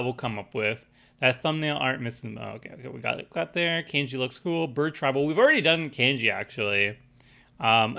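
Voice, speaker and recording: A young man hosts a casual live stream, his voice bright and forward at a middle pitch. He rambles along with plenty of ums and restarts. A slight stuffiness from allergies sits in his voice. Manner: rambling, many fillers and restarts, reads chat aloud. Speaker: streamer building a deck with chat.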